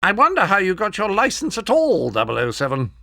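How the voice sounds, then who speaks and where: An older British quartermaster in a video game, high in pitch and swooping in intonation. He is pompous and exasperated, nagging in clipped, sardonic phrases. The sound is close and dry. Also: huge pitch swings, brisk, gravelly, bright, forceful tone, no echo, full character voice. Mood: exasperated, scolding. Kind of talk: scripted video game mission briefing.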